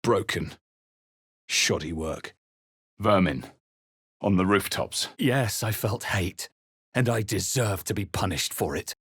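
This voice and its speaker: A man playing a brooding, wary fantasy adventurer in a video game, with a husky voice and hushed, deliberate, dramatic delivery. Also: on the low side, dry recording, clean studio sound, heavily acted. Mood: wary, musing, then solemn, uneasy. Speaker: video game player-character voice actor. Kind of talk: dramatic scripted game narration and reaction lines.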